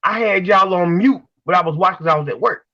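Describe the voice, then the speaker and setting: A man's voice: sits high, quick pace, pushed and bright, no room sound, dull audio. A man in his forties co-hosting in casual, slangy talk, rambling along and repeating his phrases. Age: 40s. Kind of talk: casual chat.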